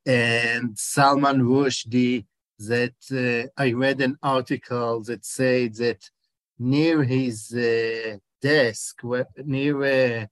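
A man in his forties with an Israeli accent talks slowly and haltingly, stopping often to hunt for the right word. He answers interview questions as a documentary director. The recording is close and dry, with almost no room sound. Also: mid-range voice, steady tone, no echo. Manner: halting, searches for words, many hesitations.

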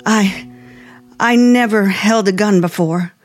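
An actress playing a timid, polite young aspiring writer, halting and nervous, in 1950s radio suspense style. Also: female voice, mid-range voice, soft background music, lightly acted. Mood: frightened, hesitant.

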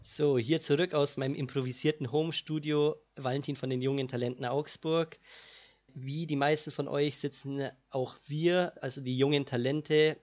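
A man's voice: fairly high pitch, steady tone, moderate pace, a little mellow, lo-fi sound. A young man presenting casually from an improvised home studio. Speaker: radio presenter.

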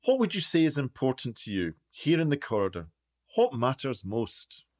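A man's voice: medium pitch, wildly swooping pitch, normal speed, darkish tone. A man playing a pompous, self-important documentary maker presenting his show in a radio play. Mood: probing. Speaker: show host.

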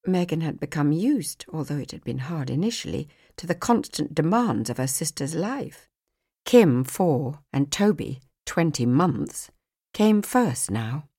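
A woman with a fairly low voice narrates an audiobook. She reads scripted fiction as a storyteller would. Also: big pitch swings, measured pace, mellow, slightly rough.

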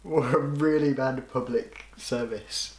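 A young man co-hosts a casual podcast in a mid-pitched voice. He drops dry, deadpan asides into the chat. The sound is roomy, with a clear echo around him. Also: takes it slowly. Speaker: podcast co-host.

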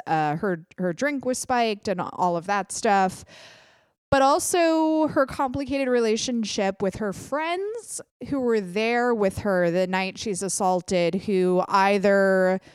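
Congested young woman rambling thru her podcast recs, smooth voice, dry close mic. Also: mid-range voice, no room sound. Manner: chatty, self-deprecating, quick asides. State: congested, clogged ear. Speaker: podcast host. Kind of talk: casual solo podcast chat.

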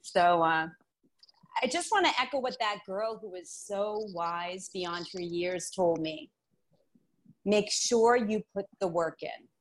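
Supportive best friend for radio drama, woman actor, candid, animated intonation, slow delivery. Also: medium pitch, low-quality recording. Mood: earnest, encouraging. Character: Angela, frank, supportive longtime best friend.

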